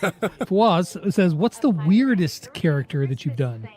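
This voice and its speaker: High-voiced male interview host. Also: sing-song, a little slow, darkish tone. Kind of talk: casual interview chat.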